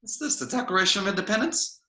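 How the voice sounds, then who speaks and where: Man reading as a skeptical teen boy, bright. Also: high-pitched, animated, fast, full character voice. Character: skeptical dry-witted teenage boy.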